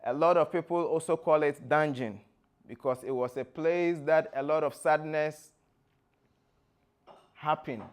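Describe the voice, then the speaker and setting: Ghanaian guy giving a talk, kinda high voice for a man, real slow and clear, explains stuff step by step. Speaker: guest speaker, former tour guide. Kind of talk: presentation to an audience with slides.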